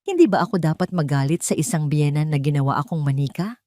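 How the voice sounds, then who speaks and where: A woman's voice: low voice, quick pace, mellow, no room sound, clean studio sound, lightly acted. A woman voicing a hurt, angry young wife who accuses and pleads in a Tagalog audio drama. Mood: wounded, defiant. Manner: accusing, pleading rhetorical questions.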